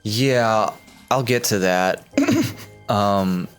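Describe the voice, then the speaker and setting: Deep, slow-talking man player. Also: big pitch swings, clear, resonant tone, faint music behind. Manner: halting, with ums and restarts. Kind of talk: casual in-character play.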